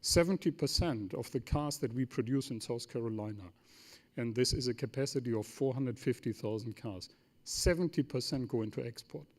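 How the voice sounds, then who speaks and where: Man, German accent, native German speaker, mid-pitched voice, company executive, semi-formal panel talk, emphatic, short repetitive phrasing. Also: moderate pace.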